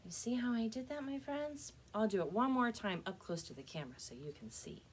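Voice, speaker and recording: A woman's voice: on the high side, lively intonation, brisk, breathy edge. A woman presenting a step-by-step craft demonstration for children as a librarian, speaking to her young viewers in a friendly, direct way.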